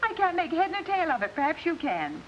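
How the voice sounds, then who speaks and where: A woman's voice: very high-pitched, big pitch swings, fairly quick. An actress playing an anxious mother of a young soldier in a 1940s film. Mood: worried, bewildered. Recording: muffled, faintly hissy 1940s film soundtrack.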